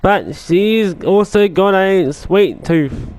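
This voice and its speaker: A man's voice: sits high, lively intonation, slow pace, a little mellow, dry recording. A man hosting a gaming video with casual, mumbling, meandering commentary as he plays.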